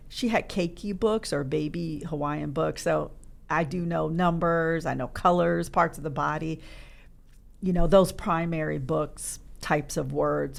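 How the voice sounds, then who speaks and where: Middle-aged woman guest; low, fry-edged voice, reflective and unhurried. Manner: reflective, searching for words. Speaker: podcast guest. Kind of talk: casual interview chat. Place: podcast studio.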